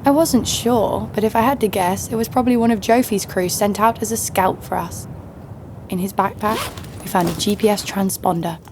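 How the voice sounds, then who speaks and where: A woman's voice: mid-range voice, moderate pace, noisy background, close to an everyday voice. A woman playing a tough, cynical bounty hunter who narrates her exploits in an audio drama, sardonic and cool. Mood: wry. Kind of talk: first-person scripted drama narration. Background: music.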